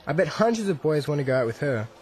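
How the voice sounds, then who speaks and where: A man's voice: on the high side, big pitch swings, quick pace, darkish tone. An actor playing a nervous, lovestruck teenage boy in a radio drama, asking anxious, eager questions. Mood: anxious, doubtful. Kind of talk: radio drama acting for English learners.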